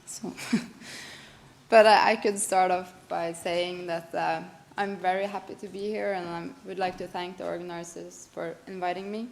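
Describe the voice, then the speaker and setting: A woman's voice: lowish voice, level tone, a little slow, creaky. A woman in her thirties from northern Norway giving a conference presentation, informal and hesitant with frequent fillers. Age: 30s. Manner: informal, hesitant, some laughter. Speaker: conference speaker. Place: conference hall.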